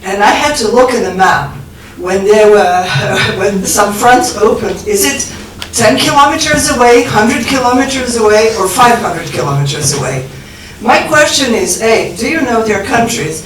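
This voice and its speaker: A woman's voice: fairly high pitch, expressive, normal speed, a bit bright, a little hiss or hum. Serbian-born woman asking pointed questions. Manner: provocative, hesitant with many fillers. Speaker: conference panel questioner. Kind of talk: conference Q&A question. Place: conference hall. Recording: roomy hall, far from the mic.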